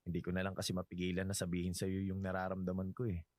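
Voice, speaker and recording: A man's voice: deep voice, monotone, fast, a little mellow, no room sound, clean studio sound, close to an everyday voice. A man with a Filipino accent playing a devoted suitor in love in a romantic radio drama, earnest and emotive. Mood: earnest, tender.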